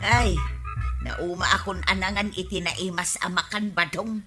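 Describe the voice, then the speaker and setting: A woman's voice: lowish voice, steady tone, unhurried, music in the background, close to an everyday voice. An actress with an Ilocano accent playing a whiny, gluttonous village wife who insists on what she wants, in a radio comedy drama. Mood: demanding.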